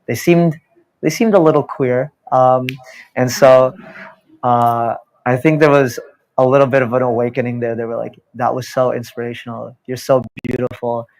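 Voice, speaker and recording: A man's voice: medium pitch, flat delivery, moderate pace, darkish tone, low-quality recording. Young guy on a panel, reflective, kinda hesitant, lots of ums and restarts. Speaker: panelist, drag performer. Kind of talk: personal story on a panel.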